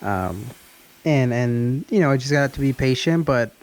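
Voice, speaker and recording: A man's voice: medium pitch, lively intonation, a little slow. A man in his early thirties talking in a casual, rambling vlog style, often repeating and restarting his phrases. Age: early 30s. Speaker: car vlogger.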